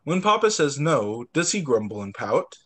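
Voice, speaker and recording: A man's voice: fairly high pitch, normal speed. A young man, a teacher, reads picture books aloud to small children expressively, with short asides. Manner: expressive, doing voices for dialogue, with short asides. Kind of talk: animated picture-book read-aloud. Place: indoors, on a video call.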